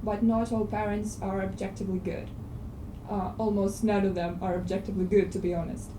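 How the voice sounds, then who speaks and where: A Russian-accented woman, not a native English speaker, gives a blunt, frank opinion at a film club. She is recorded from across an echoing cinema auditorium, with steady room noise behind her. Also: medium pitch, brisk. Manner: frank, blunt, hesitant fillers. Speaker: discussion club participant. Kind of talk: spoken opinion in a film discussion. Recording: echoey hall, distant, noisy.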